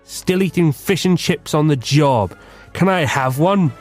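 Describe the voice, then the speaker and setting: An actor playing a pompous, dim-witted, excitable detective in a blustering manner for a comic radio drama. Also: male voice, fairly high pitch, a little slow, lightly acted. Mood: breezy. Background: music.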